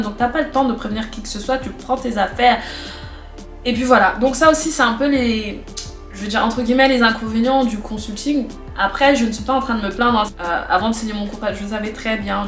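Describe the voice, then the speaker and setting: Woman with a cold, echoey room. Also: mid-range voice. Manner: chatty, repeats phrases for emphasis. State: has a cold, stuffy. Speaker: vlogger. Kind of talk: casual talk to camera.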